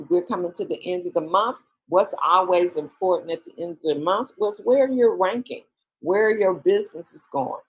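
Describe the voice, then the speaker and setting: A woman leading a sales team call, coaching with urgent rapid-fire questions over a video call. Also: fairly low pitch, slightly rough, low-quality recording. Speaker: sales leader addressing a team.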